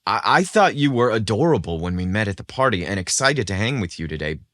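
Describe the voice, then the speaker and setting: Man voicing an excitable, romantic young guy. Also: lowish voice, a little fast, dry recording, studio quality. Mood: touched, excited.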